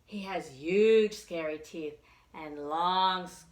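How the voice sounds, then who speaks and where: A woman's voice: fairly low pitch, slow pace, reverberant. A woman voicing a protective mother bunny in a dramatic, cautionary storybook manner. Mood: warning. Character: protective bunny mother telling a spooky warning.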